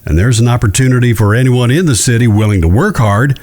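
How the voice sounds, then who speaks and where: An actor playing a shrewd, courteous judge who speaks in a measured, genteel way in a western audio drama. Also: male voice, medium pitch, darkish tone, close to an everyday voice. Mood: measured, persuasive. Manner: measured, genteel, deliberate with careful pauses. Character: shrewd, courteous Old West male judge.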